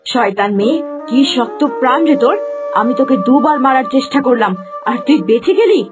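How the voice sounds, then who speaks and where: A woman's voice: high voice, animated, a little fast. A woman playing a spiteful, murderous mother who speaks with venom in a fairy-tale cartoon. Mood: spiteful, threatening. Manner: venomous, threatening. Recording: voice under loud background music.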